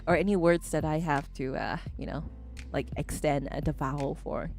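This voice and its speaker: A woman's voice: deep voice, fairly even intonation, moderate pace, a little mellow, faint music behind. A woman streamer in casual chat, switching between English and Japanese, rambling and laughing often.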